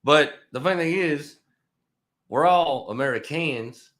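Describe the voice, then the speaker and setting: A man's voice: on the high side, fairly bright tone. Casual profane man in podcast chat. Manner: loose, profane, quick backchannels. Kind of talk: casual conversation.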